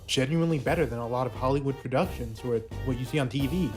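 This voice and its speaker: A man's voice: mid-range voice, fast, darkish tone. A young adult man narrating a scripted but casual video essay. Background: faint music.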